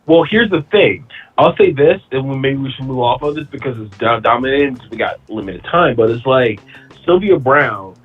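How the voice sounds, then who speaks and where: A man's voice: medium pitch, expressive, normal speed, breathy edge, narrow, tinny audio, faint music behind. A man in his thirties chatting casually on a podcast, blunt and emphatic, often repeating himself as he builds a point. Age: 30s. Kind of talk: casual chat.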